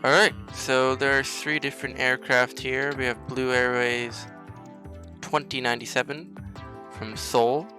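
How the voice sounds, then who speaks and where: A man's voice: mid-range voice, little pitch movement, unhurried, bright, forceful tone. Man narrating a game tutorial over music. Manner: explanatory, step-by-step instruction. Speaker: gaming video host.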